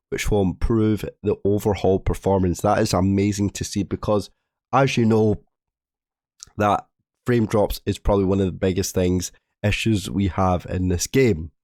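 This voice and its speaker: A man with a low, hoarse voice from a cold talks through a game update. His tone is dark and mellow and the sound is dry and close. He rambles casually and trips over and restarts his words. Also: no echo. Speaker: gaming video host.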